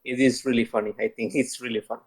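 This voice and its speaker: A man from Mexico who is a native Spanish speaker with a Mexican Spanish accent, a modest and nervous guest speaking haltingly in a casual chat. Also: mid-range voice, level tone, husky.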